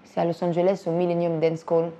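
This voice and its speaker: A woman from Belgium in her thirties, talking casually and quickly about music and dance, using slang and repeating words. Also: fairly low pitch, completely flat delivery, warm tone, dull audio. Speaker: actress and director talking about her influences. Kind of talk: casual chat.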